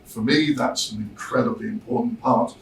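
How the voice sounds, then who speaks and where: A man with a mid-pitched voice explains a product as its designer. His delivery is measured and informal, with pauses mid-thought. He is picked up from a distance in a reverberant show room. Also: creaky. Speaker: loudspeaker designer presenting a product. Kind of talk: informal product presentation to visitors. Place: demo room at a hi-fi show, indoors. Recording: roomy, distant mic, noisy show room.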